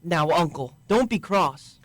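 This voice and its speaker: A man's voice: on the high side, expressive, takes it slowly, fairly bright tone, dry recording, full character voice. A man playing a cheerful, undaunted young nephew in a radio drama, hearty and projecting. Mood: earnest.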